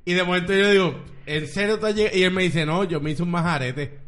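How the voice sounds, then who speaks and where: Slangy Puerto Rican man, slightly high voice. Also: fairly quick, fairly bright tone. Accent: Puerto Rican Spanish. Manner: crude, teasing, slangy. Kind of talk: casual group chat.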